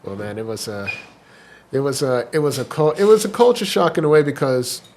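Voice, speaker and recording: A young man guesting on a podcast, talking through his story in a loose, easygoing way. He rambles along with lots of fillers, his voice sitting at an ordinary middle pitch. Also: expressive, fairly quick, a little mellow, slight background noise. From Washington, D.C. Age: young adult. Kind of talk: casual interview chat.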